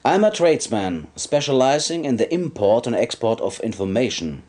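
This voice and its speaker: A man playing a shady, businesslike trader in secrets, briefing a commander in a measured way in a game cutscene. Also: medium pitch, sing-song, darkish tone. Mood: businesslike, calculating.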